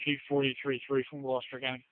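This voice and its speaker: A man's voice: mid-range voice, completely flat delivery, fast talker, no echo. A man working as a county dispatcher, handling emergency radio traffic in a procedural, matter-of-fact way. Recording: narrowband phone-like emergency radio.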